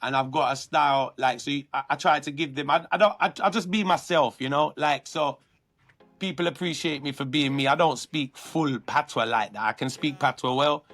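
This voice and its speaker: A man with a London accent, a guest artist talking earnestly through his career in a relaxed interview. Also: fairly high pitch, normal speed. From London, England. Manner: earnest, reflective, many restarts.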